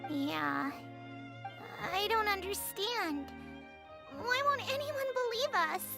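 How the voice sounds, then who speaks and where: Tiny high-pitched earnest creature girl. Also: lively intonation, takes it slowly, gravelly, music in the background, heavily acted. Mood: hurt, confused. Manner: earnest, polite, childlike. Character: small, earnest, hopeful young female creature, cute and determined. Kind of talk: animated video game voice acting.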